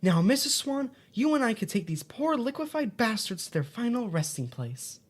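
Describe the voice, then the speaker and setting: A woman voicing a cheerful, chillingly practical male host who patiently instructs listeners in a patronising way, in a scripted dark comedy audio drama. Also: fairly high pitch, sing-song, normal speed, a little hiss or hum. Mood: upbeat, matter-of-fact. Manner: chirpy instructional, patronising, talks to audience.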